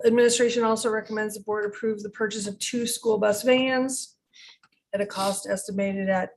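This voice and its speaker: A woman's voice: mid-range voice, darkish tone, poor audio. A woman speaking as a board member, formally reading out a motion at a meeting. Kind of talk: formal motion read aloud. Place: indoor school board meeting room.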